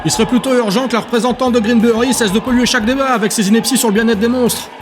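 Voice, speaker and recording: A man plays a pompous male representative in an audio drama, with a strained-up high pitch. He is indignant and sneering, with music faintly behind. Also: fairly even intonation, very fast. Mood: scornful. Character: snorting, scornful, indignant male council representative.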